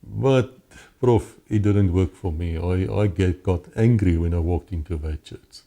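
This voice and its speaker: A man's voice: low-pitched, measured pace, creaky, dark tone. A middle-aged South African man with an Afrikaans accent, telling his personal story conversationally as an interview guest.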